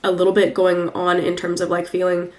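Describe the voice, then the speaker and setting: A woman's voice: on the low side, monotone, brisk, a little mellow. Young woman, flu-congested and nasal, chatty tarot reader who rambles with lots of fillers. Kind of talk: casual weekly tarot reading.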